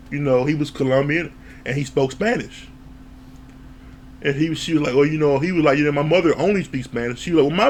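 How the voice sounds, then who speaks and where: A man with a slightly higher voice gives a loose, animated spoken recap of a reality show. He talks in an offhand way, swears and laughs, and acts out what the people on the show said. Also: a little hiss or hum. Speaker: reality TV reviewer. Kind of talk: casual recap commentary.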